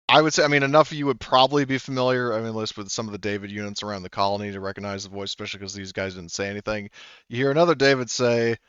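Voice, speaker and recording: A man's voice: fairly low pitch, fairly bright tone, clean tone, no echo, highs cut off. A man narrating as game master of a tabletop game, hesitant with many fillers.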